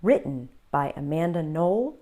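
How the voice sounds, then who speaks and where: A woman hosting a children's read-aloud, telling the story in an expressive, deliberate way for young listeners. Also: low-pitched.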